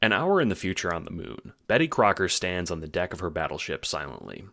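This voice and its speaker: A man's voice: deep voice, very animated, brisk, creaky, a bit bright. A man delivering scripted audiobook narration in a wry, theatrical, playful way.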